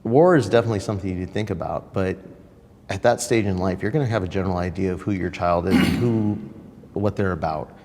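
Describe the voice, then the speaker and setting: A man speaking calmly and openly about his own life as a parent in a played video clip. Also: low voice, quick pace. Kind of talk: earnest personal account. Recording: long reverb in a played clip.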